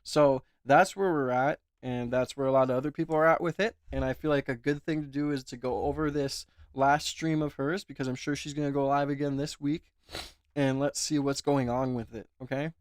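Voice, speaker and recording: A man's voice: medium pitch, fairly even intonation, a little fast, clear, resonant tone, dry recording, clean studio sound. A man hosting his own livestream with a sore throat, chatting casually and reading viewer comments aloud. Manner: chatty, asks for likes and reads chat aloud. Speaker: livestream host.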